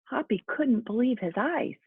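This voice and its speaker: A woman's voice: fairly high pitch, animated, moderate pace, breathy edge, no room sound. A woman teacher speaking encouragingly to young children, as in a lesson and storybook read-aloud, with muffled sound. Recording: muffled, phone-like narrow-band sound.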